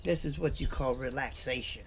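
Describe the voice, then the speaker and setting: A middle-aged American man vlogging casually about his day, relaxed and chatty, filming himself in his vehicle and on a porch. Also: mid-range voice, moderate pace, slight background noise. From USA. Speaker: trucker vlogger. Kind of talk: casual vlog chat. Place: in a parked vehicle, on a home porch outdoors. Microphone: camera mic close up.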